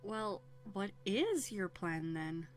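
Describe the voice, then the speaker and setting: A woman's voice: mid-range voice, very animated, slow pace. A woman playing a haughty, wary young runaway princess in a scripted audio roleplay, speaking in prim, formal phrases with dramatic hesitations. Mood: skeptical, challenging. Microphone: close USB studio microphone.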